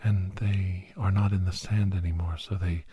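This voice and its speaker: A man playing a weary, guilt-ridden older doctor and veteran hunter, whispering a slow, halting confession in a breathy, husky voice as an ASMR audio story. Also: sits low, level tone, dark tone, dry recording. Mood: quiet, wistful.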